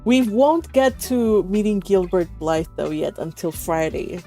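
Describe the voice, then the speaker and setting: A woman's voice: mid-range voice, expressive, measured pace, warm tone, faint music behind. A woman hosting an online read-along, chatting casually and giggly, with rambling anecdotes. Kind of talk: casual group call chat. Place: online voice call.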